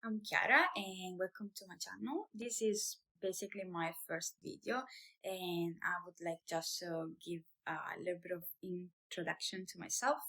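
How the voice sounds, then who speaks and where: A woman's voice: lowish voice, steady tone, normal speed, sharp tone. Italian woman in her 30s, english isn't her first language, chatty and a bit hesitant, lots of ums. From Italy. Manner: chatty, hesitant, with fillers and restarts. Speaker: vlogger, business owner. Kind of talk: casual personal vlog.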